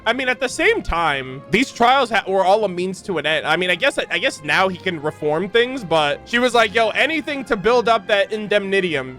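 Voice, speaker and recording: Male reaction host, high-pitched, bright, casual slang, exclamatory commentary, faint music in background.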